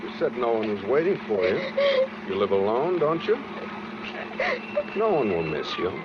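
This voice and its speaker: An actor playing a tired, suspicious killer on the run in a 1940s radio suspense drama, clipped and sardonic. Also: male voice, lowish voice, very animated, normal speed. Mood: cold, bitter. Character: tired, menacing fugitive killer, curt and suspicious. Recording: noisy, muffled 1940s radio recording.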